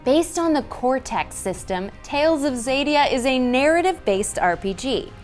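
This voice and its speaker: A woman's voice: high-pitched, lively intonation, moderate pace, soft background music. A woman in her thirties hosting a scripted instructional explainer, speaking articulately with emphatic phrasing. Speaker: host. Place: indoor decorated studio set at a table. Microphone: lapel mic.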